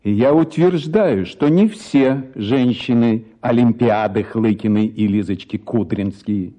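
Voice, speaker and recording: A man as a worldly older gentleman. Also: medium pitch, measured pace, natural voice. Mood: declamatory.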